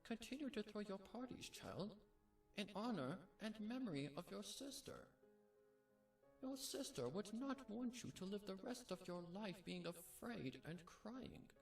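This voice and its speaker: A narrator voicing a kindly old grandmother pony, warm and gently chuckling, in a storybook reading with music behind. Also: mid-range voice, expressive, unhurried, vocal fry, reverberant, recorded from a distance, pitched above the performer's own voice. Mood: warm, gentle.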